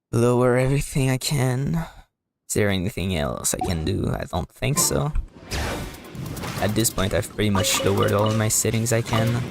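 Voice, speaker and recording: A man with a low, gravelly voice streams a game in a casual way. He talks very slowly and haltingly, rambling through his explanations as he plays. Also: no echo. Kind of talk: casual live stream commentary while playing. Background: music.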